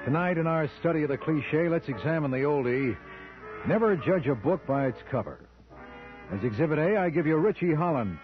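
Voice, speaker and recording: A man's voice: fairly high pitch, expressive, a little fast, lightly acted. An actor playing a sardonic lawyer who narrates his own case in an old-time radio mystery, with dry, wry delivery. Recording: dull old radio recording with music.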